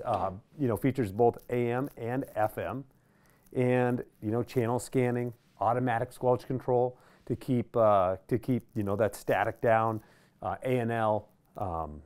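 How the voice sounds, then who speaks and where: An American man, around his thirties, giving a casual product demonstration in an informal, halting way with pauses. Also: medium pitch, darkish tone. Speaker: product review presenter. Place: small indoor workshop studio.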